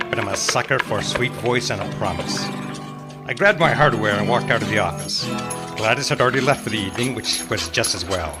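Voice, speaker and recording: Man playing a low-voiced hard-boiled private eye. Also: close to an everyday voice. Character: hard-boiled male private eye, world-weary sucker for dames. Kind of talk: noir radio drama first-person narration. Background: loud music.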